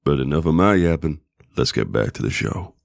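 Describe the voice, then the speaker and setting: Very deep, gravelly grumpy old man. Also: expressive, fairly quick, full character voice. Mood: promotional. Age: older. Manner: gruff, teasing. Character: grumpy gruff old mercenary mentor, fatherly deep down. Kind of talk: audio drama acting.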